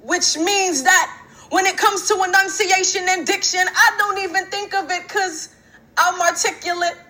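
Young woman doing slam poetry, super high bright voice, punchy rhymes. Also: moderate pace. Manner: rhythmic, rhyming, emphatic delivery. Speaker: spoken word poet. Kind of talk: spoken word poetry performance.

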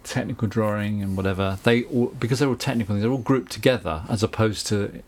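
A man's voice: on the low side, fairly quick, darkish tone. Middle-aged southern english guy being interviewed, chatty storyteller, lots of asides. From England. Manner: anecdotal, chatty, with frequent asides and laughs. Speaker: guest, recording engineer and producer.

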